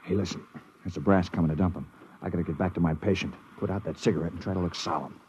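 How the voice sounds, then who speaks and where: An American man playing a gruff, grumbling, worried ship's medical orderly in 1950s radio style. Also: low voice, steady tone, racing, very noisy, close to an everyday voice. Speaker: actor in a radio drama. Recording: muffled vintage radio recording.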